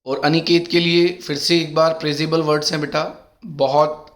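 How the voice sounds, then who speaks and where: A middle-aged male teacher with a sore throat, running an exam-prep lesson and calling his students beta while reading questions out slowly. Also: on the high side, little pitch movement, a bit bright. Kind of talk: exam-prep lesson in Hindi mixed with English.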